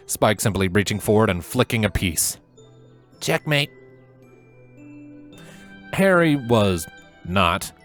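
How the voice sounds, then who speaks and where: A man's voice: medium pitch, fairly bright tone. A man reading a scripted story aloud as the narrator. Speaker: fan fiction narrator.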